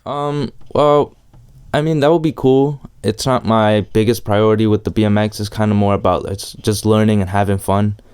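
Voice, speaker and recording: Young man, smooth mellow low voice, casual. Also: fairly even intonation, moderate pace. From El Salvador, long resident in New York. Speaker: interviewee, amateur BMX rider. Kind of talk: casual chat.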